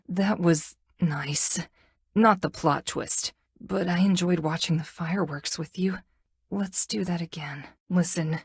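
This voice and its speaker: Low, husky, brooding tough game heroine. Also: female voice, no room sound, full character voice. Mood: awkwardly fond. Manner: dry, deadpan, halting when flirting. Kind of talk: video game character dialogue.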